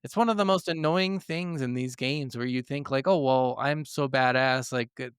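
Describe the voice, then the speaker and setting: A man with a clean, smooth voice in a very dry recording, chatting casually as a podcast co-host. He is blunt, grumbles freely and swears often, at an average pitch. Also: normal speed, no echo. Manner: blunt, heavy swearing, complaining. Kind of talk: casual gaming discussion.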